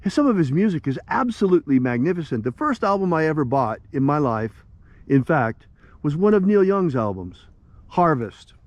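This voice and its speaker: An older man preaching with fervor, his voice sitting a touch high and swinging widely in pitch. He climbs into sharp, indignant outbursts, then leads a call-and-response prayer. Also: sing-song, moderate pace, no echo, highs cut off. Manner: impassioned, rising to indignant outbursts. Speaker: ritual leader. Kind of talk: preaching and leading a ritual prayer.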